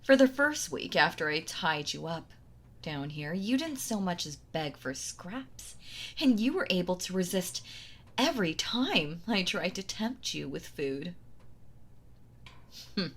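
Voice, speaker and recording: Woman acting a slow, sweetly cruel yandere wife. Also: fairly low pitch, very animated, exaggerated voice. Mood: smug, quiet menace. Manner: slow taunting delivery, breathy soft laughs, mock-tender. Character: possessive yandere wife, sweetly cruel, gloating, toying captor. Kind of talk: intimate roleplay audio drama.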